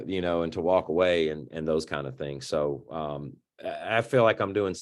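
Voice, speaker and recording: A middle-aged man with a deep voice, speaking as an interview guest in an earnest, reflective way and often repeating words as he starts a sentence. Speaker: interview guest, youth organization founder.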